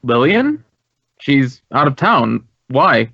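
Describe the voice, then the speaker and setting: An American actor playing an earnest, courteous and talkative director of a drama school in an old radio drama. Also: male voice, medium pitch, big pitch swings, takes it slowly, gravelly, sharp tone, husky, no room sound, full character voice. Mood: puzzled, chatty. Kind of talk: 1950s radio acting. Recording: dull, dry old radio recording.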